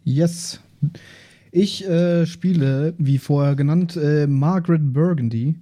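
Mellow, dark-voiced man explaining haltingly. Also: fairly high pitch. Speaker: tabletop role-playing player. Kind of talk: casual character introduction on an actual-play podcast.

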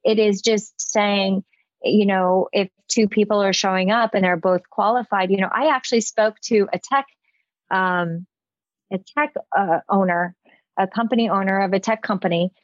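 Middle-aged woman, mid-range voice, earnest halting delivery, podcast guest, dull lo-fi recording. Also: mid-range voice, steady tone, no room sound. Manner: earnest, halting as she forms thoughts. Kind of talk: reflective casual discussion.

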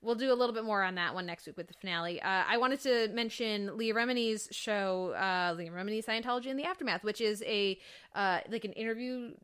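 A woman hosting a relaxed, conversational review of television, asking questions and sharing her own opinions. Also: medium pitch, a little fast, clean tone. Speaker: podcast host. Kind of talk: casual TV review chat.

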